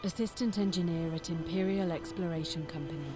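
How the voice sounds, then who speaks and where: A woman's voice: lowish voice, natural voice. A woman voicing a weary engineer's journal over music. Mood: solemn. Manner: calm, measured reading over music. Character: female assistant engineer writing a journal, weary. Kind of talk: scripted game narration. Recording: game narration with music behind.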